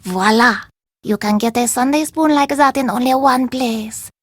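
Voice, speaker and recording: An actress playing a proud, stubborn street vendor in a video game, haggling emphatically in formal phrasing. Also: female voice, on the high side, moderate pace, breathy edge, no echo, clean studio sound. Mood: proud, insistent. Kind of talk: video game character dialogue.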